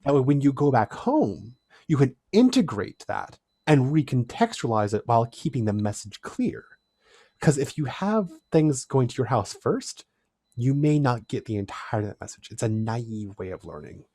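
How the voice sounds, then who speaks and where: A man's voice: medium pitch, very animated, moderate pace, dark tone, no echo. A man, a podcast guest, explaining ideas in a reflective, lecturing way with shifting emphasis. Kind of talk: thoughtful conversational explanation.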